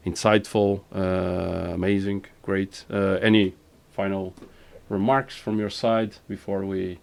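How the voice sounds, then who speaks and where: A man's voice: sits low, little pitch movement, slow pace, gravelly. A Portuguese-accented man, a native Portuguese speaker, hosting a casual podcast interview in English, often repeating words as he searches for them. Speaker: podcast host.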